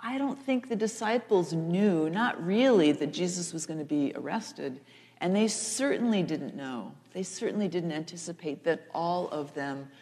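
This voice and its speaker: A woman in her sixties, a pastor preaching a storytelling sermon with deliberate, emphatic repetition. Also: fairly low pitch, a little mellow. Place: large church sanctuary, seated at front. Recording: echoey church hall, long reverb.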